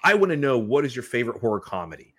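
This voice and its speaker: A man's voice: mid-range voice, very animated, racing, pushed and bright, husky. A man co-hosting a casual live chat show, earnestly explaining his points and talking to the audience. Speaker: co-host of horror review show.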